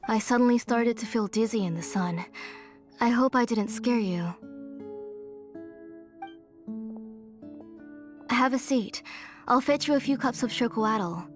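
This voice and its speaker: A woman playing a gentle, weary, motherly aunt in a video game, hospitable and soft-mannered. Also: on the high side, normal speed, natural voice. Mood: weary, apologetic. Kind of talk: video game character acting. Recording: game music behind the voice.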